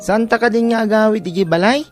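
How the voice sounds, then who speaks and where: A woman's voice: on the high side, quick pace, music in the background, close to an everyday voice. A woman voicing an innocent young grandchild in a family radio drama, respectful and full of plaintive questions. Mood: innocent, uncertain.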